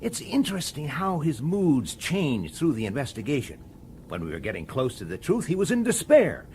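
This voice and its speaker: Radio drama detective: determined, insistent and cornered, highly varied intonation, slight background noise. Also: male voice, huge pitch swings, moderate pace, a little mellow. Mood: triumphant, insistent. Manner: insistent, accusatory, clipped sardonic questioning. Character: dogged, defiant male police detective, framed and determined.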